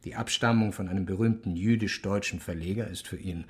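An actor playing a big, fussy and eager association official in a radio drama, with a voice that is not deep and a hesitant, chatty manner. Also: male voice, fairly even intonation, brisk, natural voice. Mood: self-important. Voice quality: voice not deep despite bulk.

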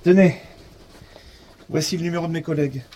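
An actor playing a flustered, chatty eccentric suspect in a French audio drama, stammering and rambling with a French accent. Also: male voice, a little high, fairly even intonation, a little fast, dark tone, slight background noise, natural voice. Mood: eager, hospitable.

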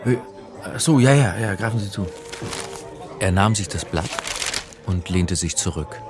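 Dry bookish lecturer guy, really low voice, radio crime play, music behind him. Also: expressive, unhurried. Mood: hesitant, sceptical, then matter-of-fact. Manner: dry, pedantic, conversational. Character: wry, bookish male lecturer in religious studies, telling his story. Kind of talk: radio crime drama, first-person narration and dialogue.

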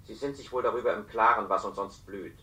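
An actor playing a cautious, grave telepathic informant in a radio play, speaking precisely and confidentially. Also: male voice, fairly low pitch, fairly quick, bright, forceful tone. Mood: grave, secretive. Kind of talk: German radio play acting. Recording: roomy, echoing radio studio.

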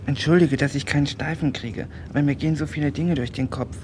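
A man's voice: fairly even intonation, fast talker, darkish tone, close to an everyday voice. Man playing a naive, rambling teenage boy, noisy recording. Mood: apologetic, flustered. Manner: rambling, apologetic, earnest. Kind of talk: German radio play dialogue. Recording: noisy, rumbling radio recording.

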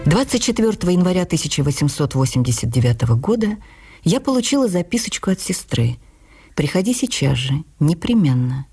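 Woman memoirist, radio drama, expressive literary storytelling, animated intonation, dark husky voice. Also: sits low, a little fast, soft background music, full character voice. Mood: reflective. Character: woman writer warmly recalling her youth.

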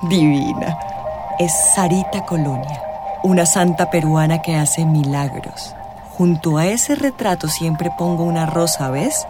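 An actress with a Colombian accent playing a mysterious, self-assured tarot reader in a radio drama, theatrical and sardonic. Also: female voice, sits low, a little slow, music in the background. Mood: mysterious, grand.

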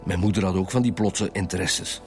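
A man's voice: low-pitched, fairly quick, faint music behind. A man with a Flemish accent playing a defensive, self-righteous husband under police questioning in a radio drama. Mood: guarded, self-righteous. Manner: self-righteous, weary, curt. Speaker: actor in a radio detective serial.

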